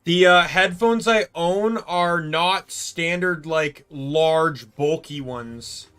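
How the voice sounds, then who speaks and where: A man's voice: a little high, takes it slowly, a bit bright, clean tone, soft background music. A man streaming a game from his room, chatting casually and rambling, often restarting his sentences. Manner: rambling, self-correcting. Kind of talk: casual gameplay chat. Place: home room.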